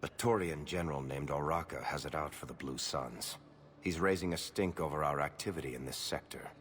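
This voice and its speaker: An actor playing a smug, mocking mercenary gang leader in a video game, with a gravelly voice and sneering manner. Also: male voice, a bass voice, a little fast, a bit bright, a little hiss or hum. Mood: dismissive. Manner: sneering, smooth-talking, mocking.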